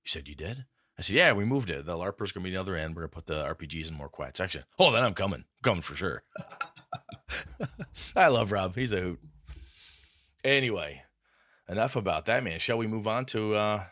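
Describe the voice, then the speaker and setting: Podcast co-host, male, low voice, dry close mic, easygoing joker who reads listener letters. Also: very animated, brisk, long pauses, a bit bright, no room sound. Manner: jokey, reads letters aloud with quoted voices. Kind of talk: casual chat, reading listener mail.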